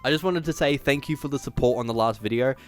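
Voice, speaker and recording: A man's voice: mid-range voice, fast, faint music behind. A man hosting a video essay, reading a script with emphatic delivery and dropping in casual asides.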